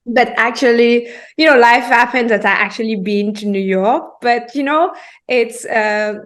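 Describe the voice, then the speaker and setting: A woman from Martinique with a French Caribbean accent, a podcast guest talking in a halting way, with many fillers and restarts. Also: fairly high pitch, fairly bright tone. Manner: halting, hesitant speech with frequent fillers and restarts. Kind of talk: casual interview conversation.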